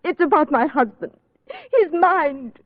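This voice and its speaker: A woman playing an anxious, devoted young gentlewoman, earnest and pleading, in an old-time radio play. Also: sits high, a little slow, dry recording. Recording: tinny band-limited old radio recording.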